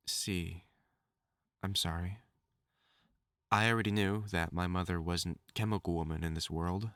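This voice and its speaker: A man's voice: low-pitched, fairly bright tone, no room sound, clean studio sound. A man acting a lovesick, obsessive supervillain scientist with formal, theatrical diction in a dramatic audio roleplay monologue. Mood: sorrowful, apologetic. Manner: formal, theatrical diction, tender endearments breaking into anguished outbursts.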